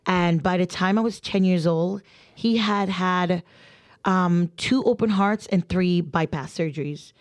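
A woman's voice: lowish voice, a little slow. A woman from Trinidad co-hosting a podcast, telling stories in a chatty, laughing way with lots of "like". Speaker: podcast co-host. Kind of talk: casual chat.

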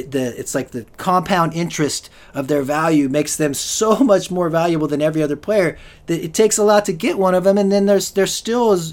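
A man's voice: on the high side, darkish tone. A man hosting a solo fantasy football podcast, rambling with lots of restarts and fillers, and he is losing his voice. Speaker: podcast host.

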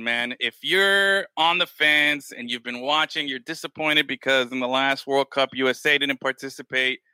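Casual male podcast host, very bright and forward tone, dry close sound, rambling delivery. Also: mid-range voice, clear, resonant tone, no echo, poor audio. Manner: loose, rambling, heavy on "man" and "bro". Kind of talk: casual chat.

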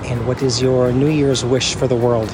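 A man hosting a travel vlog, asking strangers quick questions in a friendly, brisk way. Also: mid-range voice, little pitch movement, loud music behind the voice. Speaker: vlog host interviewing travelers. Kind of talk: casual vlog narration and street interviews.

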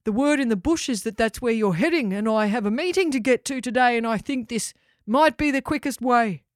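A woman's voice: on the high side, fairly quick, dry recording, studio quality, pitched above the performer's own voice, lightly acted. A woman voicing a large, polite, businesslike male kangaroo in a children's storybook.

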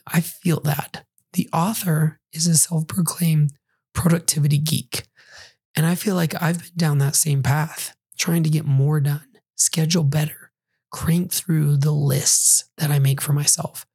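A hoarse man hosts his own podcast, reviewing a book while still getting his voice back. His voice is raspy and dark, with some words slipping into a breathy half-whisper. The recording is close and dry. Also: a little high, no echo. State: hoarse, recovering from losing his voice. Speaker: podcast host.

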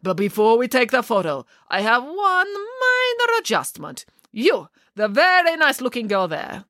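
A man's voice: extremely high pitch, wildly swooping pitch, moderate pace, bright, forceful tone, dry recording, clean studio sound, pitched above the performer's own voice, exaggerated voice. A woman voicing a flamboyant, dramatic grown man who commands a crowd of schoolchildren. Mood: theatrical. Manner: grand, theatrical, commanding.

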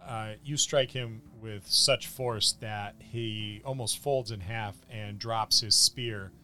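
A man working as a game master, improvising halting, descriptive narration of a tabletop fantasy battle. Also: fairly low pitch, steady tone, bright, forceful tone.

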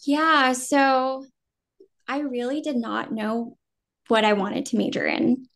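A woman's voice: sits high, slow. A woman in her thirties from New Jersey, raised speaking Vietnamese first, now with an American accent, chatting casually and loosely in an interview. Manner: relaxed, rambling, many "um" and "like", laughs easily. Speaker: guest actor.

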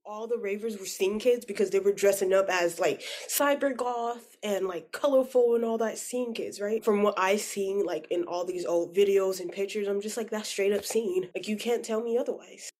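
A woman's voice: mid-range voice, steady tone, normal speed, few pauses, darkish tone. A woman in her twenties chatting as an interview guest, telling personal stories in an informal, slangy way. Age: 20s. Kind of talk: casual chat, storytelling.

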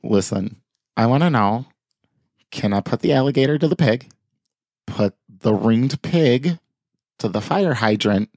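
Casual male podcast co-host, lowish voice, playful and animated, dry studio sound. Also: no room sound. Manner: animated, laughs often, acts out silly voices. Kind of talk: casual comedic chat.

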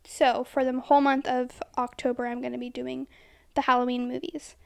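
Woman podcast co-host; very high, girlish voice with fry, giggly and casual. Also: flat delivery, moderate pace. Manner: giggly, youthful-sounding, says she sounds twelve.

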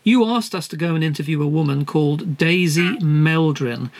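A man hosting a scripted comedy podcast, interviewing in a dry, halting way with many fillers. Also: a little high. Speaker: podcast host.

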